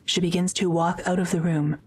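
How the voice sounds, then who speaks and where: Low, even-toned woman narrator. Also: a little fast, a little hiss or hum. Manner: neutral, matter-of-fact. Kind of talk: scripted present-tense audio drama narration.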